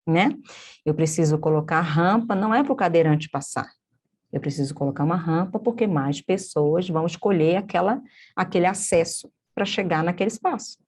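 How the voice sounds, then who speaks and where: A woman's voice: fairly low pitch, a little mellow. Brazilian woman lecturing with lots of tag questions. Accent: Brazilian Portuguese. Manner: explanatory, many tag questions; restarts and self-corrections mid-sentence. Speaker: course instructor. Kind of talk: online course lecture.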